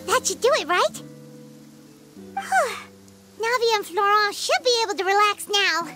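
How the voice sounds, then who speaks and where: A tiny fairy companion girl with an extremely high, squeaky little voice that swings up and down. She is excitable and chirpy, like a child, in a game with music behind her. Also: unhurried, full character voice. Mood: concerned, chatty. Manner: chirpy, refers to self in third person. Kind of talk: video game voice acting.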